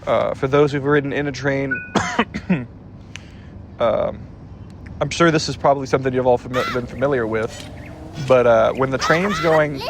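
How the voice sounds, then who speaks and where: A man's voice: medium pitch, background noise. A man giving casual let's-play commentary, a little stuffed up, rambling and often correcting himself mid-sentence. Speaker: gameplay commentator.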